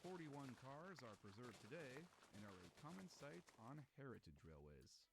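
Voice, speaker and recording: A man delivers scripted, documentary-style narration with a highly animated intonation at a middling pitch. He explains things emphatically and with enthusiasm. Some faint noise sits behind his voice.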